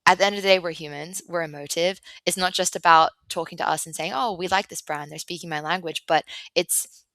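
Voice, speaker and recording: Female podcast guest, bright voice, chatty and animated, laughs often, close dry sound. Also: lowish voice, a little fast, no echo, low-quality recording. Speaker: business development director, guest. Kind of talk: conversational podcast interview.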